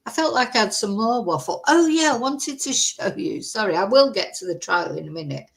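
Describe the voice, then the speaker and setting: A woman's voice: medium pitch, expressive, a little fast, gravelly. Host type: rambling, chatty woman, faded Mancunian accent, casual livestream talk into a webcam. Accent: softened Mancunian English. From Manchester, England. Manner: chatty, rambling, self-deprecating. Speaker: true crime channel host. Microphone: webcam or phone camera.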